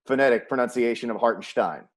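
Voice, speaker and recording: A man's voice: mid-range voice, fast. American man hosting a sports interview. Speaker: sports show host.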